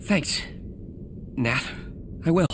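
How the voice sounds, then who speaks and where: Boyish, animated young superhero. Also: male voice, a little high, drawn-out words. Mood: awkward. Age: young adult. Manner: boyish, nervous, hesitant. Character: anxious, earnest young superhero with a double life. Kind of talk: video game cutscene acting. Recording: clear background noise and ambience.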